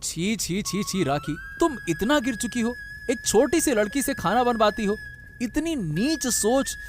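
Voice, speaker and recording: A man's voice: very high voice, expressive, a little slow. Man acting as indignant cartoon husband, reproachful, exclamatory, protective, light music behind. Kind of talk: Hindi cartoon moral story voice acting.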